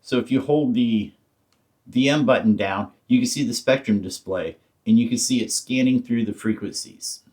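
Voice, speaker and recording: A man's voice: medium pitch, moderate pace, a little mellow, husky. A man giving a casual hands-on product tutorial, explaining things informally step by step as a reviewer. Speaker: radio reviewer.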